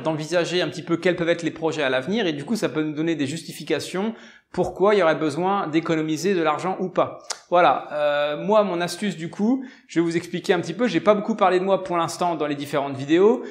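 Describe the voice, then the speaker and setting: Male presenter, French accent, slightly high voice, informal explanatory delivery that circles back and rephrases. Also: fast talker. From provincial France. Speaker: self-help video presenter. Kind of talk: informal explainer on personal finance.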